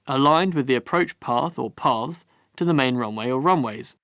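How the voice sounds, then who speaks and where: A man reading scripted instructional narration for a training course in a measured, formal manner. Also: mid-range voice, a bit bright, no echo, studio quality.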